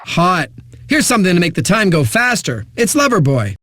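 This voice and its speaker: An actor playing a breezy, smug radio DJ in a video game, delivering hyped, sardonic patter between eighties songs. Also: male voice, high-pitched, very animated, moderate pace, bright, forceful tone, no room sound, studio quality, full character voice. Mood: wry, breezy.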